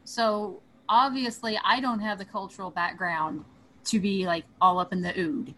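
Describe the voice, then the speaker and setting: A woman's voice: medium pitch, steady tone, moderate pace, sharp tone, slight background noise, poor audio. A woman in a casual group conversation who talks thoughtfully and keeps circling back to her main point.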